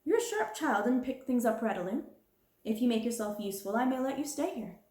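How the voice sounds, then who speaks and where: A woman's voice: a little high, expressive, fairly quick, darkish tone, echoey room, voice pushed up, natural voice. A woman voicing a harsh, domineering middle-aged schoolmistress in a storybook reading, cold and scornful. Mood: commanding.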